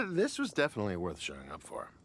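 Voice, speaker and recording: An actor playing a brash, nosy man in a game who is loud and overfamiliar. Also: lowish voice, a little hiss or hum, heavily acted. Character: brash nosy male barfly, pushy. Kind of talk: game voice acting.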